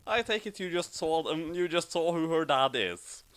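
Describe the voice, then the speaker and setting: A man's voice: on the high side, expressive, moderate pace, fairly bright tone, smooth. A man giving casual commentary as the author of a comic story.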